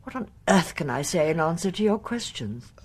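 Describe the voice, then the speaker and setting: An actress playing a dignified middle-aged English aristocrat in a radio drama, formal, refined and a little contrite. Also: female voice, lowish voice, very animated, moderate pace, a little mellow, husky.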